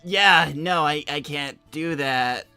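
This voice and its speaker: A man's voice: on the high side, slow pace, pushed and bright, clear, resonant tone, faint music behind, full character voice. A man playing a naive, earnest teenage boy, stammering and hesitant, in an improvised in-character livestream. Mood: awkward, dejected. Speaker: co-host answering viewer messages.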